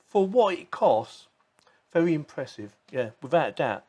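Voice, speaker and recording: A man's voice: on the high side, sing-song, moderate pace, mellow, breathy edge. Casual, chatty man reviewing a gadget. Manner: informal, chatty, thinking aloud. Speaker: tech product reviewer. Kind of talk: casual unboxing and demo commentary.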